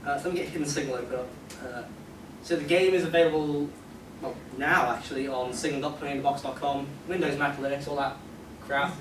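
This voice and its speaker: A man presenting casually at a convention panel, rambling in an excitable, self-deprecating way. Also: medium pitch, quick pace. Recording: echoey, noisy hall, distant microphone.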